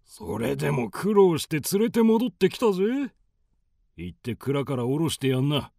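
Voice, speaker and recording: An actor playing a domineering nomad raider chieftain in a radio drama, with rough, commanding, coarse speech. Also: male voice, fairly high pitch, big pitch swings, normal speed, darkish tone, dry recording, studio quality, heavily acted. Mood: casual, callous.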